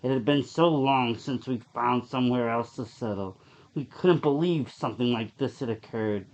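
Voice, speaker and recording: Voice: mid-range voice, normal speed, darkish tone, dull audio. A narrator reading a scripted horror short story aloud.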